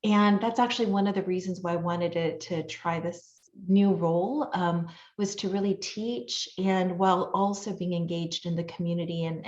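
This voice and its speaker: A middle-aged woman speaking earnestly on a panel, measured and full of fillers. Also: lowish voice, darkish tone, echoey room, dull audio. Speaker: panelist, labor studies teacher. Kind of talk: panel talk over video call.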